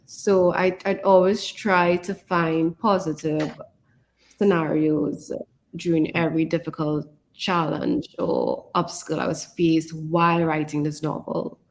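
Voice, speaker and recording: A woman's voice: sits low, fairly even intonation, a little slow. A woman author chatting casually about writing in an encouraging way, often repeating phrases for emphasis. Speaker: author, co-presenter.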